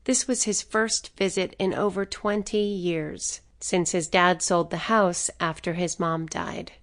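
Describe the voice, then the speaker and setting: A woman's voice: on the low side, steady tone, unhurried. A woman reading scripted story narration for an audiobook.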